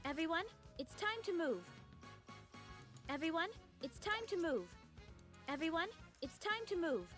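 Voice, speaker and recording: Very high, perky young game girl. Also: big pitch swings, unhurried, soft background music, exaggerated voice. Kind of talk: game voice line.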